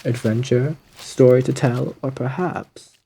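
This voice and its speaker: Male actor as suave woodland villain, gravelly and mellow, genteel menace, theatrical phrasing, ASMR audio roleplay. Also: mid-range voice, fairly even intonation, a little slow, a little hiss or hum, rough recording. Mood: teasing, musing. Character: suave, sinister gentleman predator lurking in dark woods, theatrically menacing.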